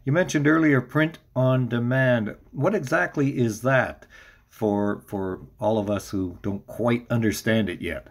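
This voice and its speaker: A man's voice: mid-range voice, a little slow. A man hosting a casual interview, chatting and putting questions to his guest. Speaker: interview host.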